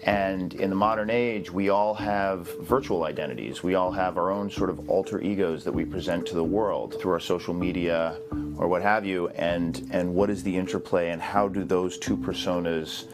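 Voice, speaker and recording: Man, very low voice, reflective interview talk, halting and thoughtful, clear music behind. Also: fairly even intonation. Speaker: project co-creator being interviewed.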